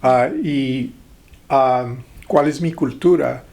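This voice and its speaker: Middle-aged man from the border, slow and hesitant, interview guest. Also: medium pitch, vocal fry, a little mellow. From Texas-Mexico border. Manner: hesitant, many pauses and fillers. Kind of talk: interview conversation.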